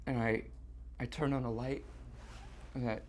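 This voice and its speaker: An actor playing a distraught, guilt-ridden man on stage, confessing in halting, repetitive, stammered phrases in a live theatre monologue. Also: mid-range voice, little pitch movement, darkish tone, a little hiss or hum, natural voice. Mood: hesitant, dread. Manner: halting, stammering, repeating himself.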